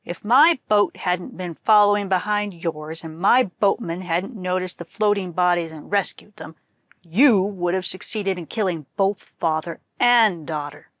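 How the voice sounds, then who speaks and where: A woman's voice: fairly low pitch, unhurried, a bit bright, no room sound, studio quality. A woman voicing a stern, righteous male government minister in a folktale, scolding formally with indignation. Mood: angry scorn.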